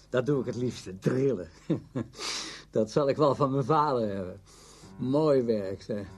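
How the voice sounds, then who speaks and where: A brash orchestra conductor in a radio play, with a husky, mid-pitched voice. He talks bluntly and offhandedly, very sure of himself. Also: darkish tone. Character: brash, self-satisfied male orchestra conductor, worldly and blunt. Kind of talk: Dutch radio drama acting.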